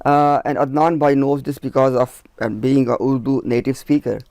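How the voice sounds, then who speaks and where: A man's voice: mid-range voice, little pitch movement, moderate pace, a little mellow, smooth, dry recording. A man, a researcher, explaining his points at length in a discussion, methodical and firm, counting them off one by one. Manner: emphatic, firm. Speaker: researcher of religious literature.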